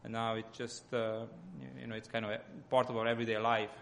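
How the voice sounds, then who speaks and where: A man with a Hungarian accent gives an informal sermon as a lay church speaker, often halting as he searches for words. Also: lowish voice, monotone, a bit bright, a little hiss or hum.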